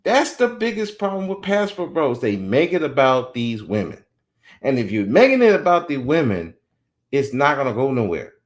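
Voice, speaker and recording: A man's voice: mid-range voice, moderate pace. A man in his forties hosting a podcast, giving blunt, opinionated commentary and mocking others now and then. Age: 40s. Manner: blunt, animated, mocking when quoting others. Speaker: podcast host.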